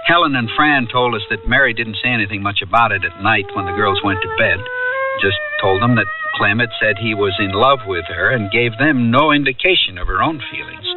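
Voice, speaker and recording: A man's voice: lowish voice, far from the mic, natural voice. An actor playing a wistful ordinary man who looks back on a youthful summer holiday in reflective, conversational radio drama narration. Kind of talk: 1970s radio drama first-person narration. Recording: muffled radio drama tape, music behind.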